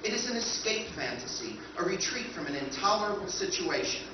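A man playing a polite but relentless robot ship that tends its passengers, in a formal, measured way, in a live stage recreation of an old-time radio drama. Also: high-pitched, background noise. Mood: calm, formal. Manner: formal, measured, calmly menacing. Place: hotel function room stage with audience. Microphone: stand mic, far camera. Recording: echoey hall from far off, dull.

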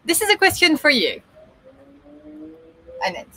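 A woman's voice: sits high, huge pitch swings, measured pace, pushed and bright, no room sound. Lady co-hosting a live stream on a boat in a marina, chatty, lots of restarts, webcam sound. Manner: chatty, hesitant, many restarts and fillers. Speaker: sailing channel co-host. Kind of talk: casual live stream chat. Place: boat cockpit in a marina, outdoors.

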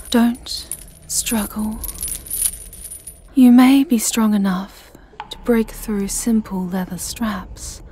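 A woman voicing a creepy, obsessively devoted castle servant, hushed, halting and menacingly calm, in an ASMR roleplay. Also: medium pitch, slight background noise.

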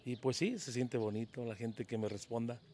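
A humble Mexican man in his fifties answers questions outdoors in a plaza, speaking into a handheld mic held out to him. His voice sits at an ordinary pitch, with faint outdoor ambience behind it. He hesitates and repeats words as he talks. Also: fairly even intonation, moderate pace, a little mellow, slight background noise. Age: 50s. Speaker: interviewee, local singer. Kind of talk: casual street interview. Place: town plaza outdoors at dawn. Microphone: handheld mic held by interviewer.

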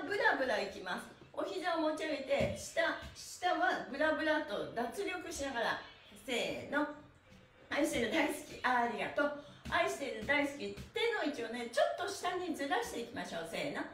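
A woman's voice: high voice, a bit bright. A middle-aged woman leading an upbeat stretching class, cheerful and encouraging, with rhythmic sing-song chants. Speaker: stretching instructor. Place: small bare-walled room indoors, on a floor mat. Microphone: camera a few metres away. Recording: roomy echo, distant microphone.

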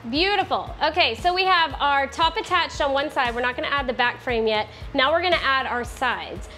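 A woman in her thirties hosting a casual woodworking tutorial in her home garage, chatty and quick to correct herself. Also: high voice, bright, forceful tone, soft background music. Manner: chatty, self-correcting, laughs often. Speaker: DIY woodworking host.

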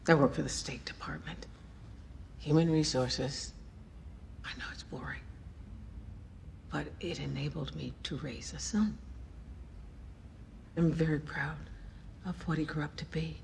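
Hushed, creaky-voiced grieving parent. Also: male voice, fairly high pitch, fairly even intonation, measured pace, pauses often. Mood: restrained, bitter. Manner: hushed, restrained. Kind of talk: film drama. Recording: film clip with faint noise.

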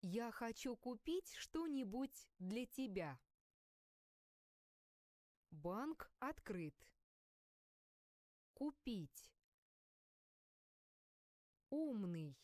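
A woman reading single vocabulary words and short phrases aloud for a language course, slowly and carefully enunciated. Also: fairly high pitch, very animated, darkish tone, no room sound, studio quality. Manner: slow, careful word-by-word enunciation. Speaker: language course audio reader.

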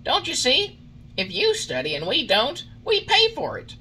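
A man's voice: extremely high pitch, huge pitch swings, measured pace, bright, forceful tone, a little hiss or hum, voice pushed up, exaggerated voice. A man voicing jeering, bullying schoolboys in a storybook reading, with a taunting, exaggerated manner. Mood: scornful.

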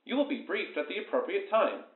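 An actor playing an impersonal, clipped government announcer in a radio drama. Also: male voice, high-pitched, very animated, brisk, narrow, tinny audio. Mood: cold. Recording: echoey, faint noise.

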